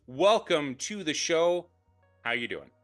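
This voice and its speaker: A man's voice: a little high, very animated, takes it slowly, faint music behind. A man hosting a sports podcast, introducing his guests with enthusiastic, upbeat delivery. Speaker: podcast host. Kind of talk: casual interview.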